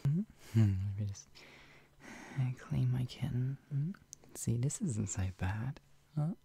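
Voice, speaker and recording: A doting, playful lover acted out by a performer in an intimate roleplay ASMR. The voice is mellow and crackles with fry, often slipping into whispers. The delivery is teasing and flustered, full of soft hums and hesitant murmurs. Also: medium pitch, unhurried, exaggerated voice. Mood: tender, soothing. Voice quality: breathy, husky, often dropping into whispers. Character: doting, playful, flustered lover tenderly caring for an ill pet-named partner.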